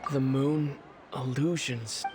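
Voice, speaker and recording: An actor voicing a weary, brooding man in a slow, reflective inner monologue for a video game. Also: medium pitch, steady tone, background noise, close to an everyday voice. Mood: weary, pondering.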